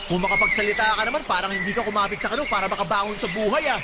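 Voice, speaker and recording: A man's voice: high voice, rapid-fire, heavy background noise, natural voice. An actor playing a defensive, pleading man who cheated on his girlfriend, in radio drama style. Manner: pleading, emotional. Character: defensive, pleading man, unfaithful ex-callboy boyfriend. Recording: loud music under the voice.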